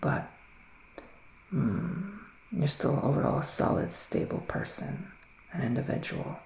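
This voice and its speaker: A man speaking softly in an ASMR roleplay as a psychic witch, often near a whisper, musing slowly with little hums. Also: a little high, lively intonation, gravelly, reverberant, slight background noise, rough recording. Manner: slow, hesitant, musing, with murmured hums. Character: gentle, mystical male witch giving readings. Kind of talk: soft-spoken ASMR roleplay, partly whispered.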